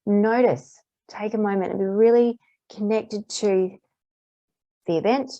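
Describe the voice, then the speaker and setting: A woman practitioner calmly guiding a tapping exercise in repeated phrases, her voice a little hoarse. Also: mid-range voice, measured pace, no room sound. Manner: calm, repetitive guiding phrases. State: slightly hoarse, clearing throat. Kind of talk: guided tapping lesson. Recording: dull, muffled dry recording.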